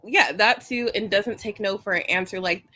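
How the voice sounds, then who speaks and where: A woman's voice: medium pitch, normal speed, pushed and bright. A woman with a Jamaican-British accent giving blunt opinions in casual chat, laughing as she talks.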